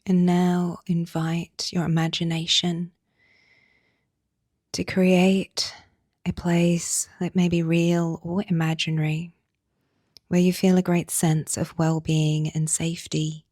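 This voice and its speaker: Very slow, hushed low-voiced woman guiding meditation. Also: level tone, dry recording, studio quality. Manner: soft, breathy, soothing instruction with long pauses. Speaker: yoga nidra guide. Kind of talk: guided meditation narration.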